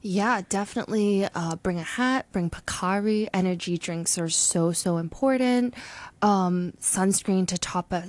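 A woman's voice: medium pitch, a little slow, darkish tone, no echo. A woman from Hong Kong speaking as an interview guest in an informal, agreeable, conversational way.

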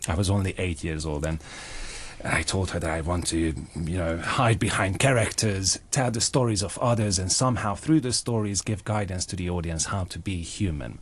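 Earnest Hungarian man, lowish voice. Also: normal speed, slightly rough. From Budapest, Hungary. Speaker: interview guest, actor and playwright. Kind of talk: personal storytelling in a radio interview.

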